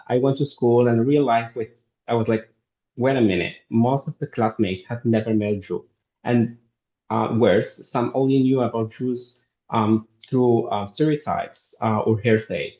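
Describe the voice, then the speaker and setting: A man, answering a podcast interviewer in an earnest, halting way full of restarts. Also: mid-range voice, mellow, lo-fi sound. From Morocco. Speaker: podcast guest.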